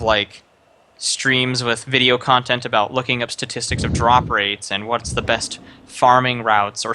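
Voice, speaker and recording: A man's voice: mid-range voice, pushed and bright, a little hiss or hum. A young man streaming live, casually giving another streamer rambling advice with lots of fillers. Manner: rambling, many fillers and restarts, advising.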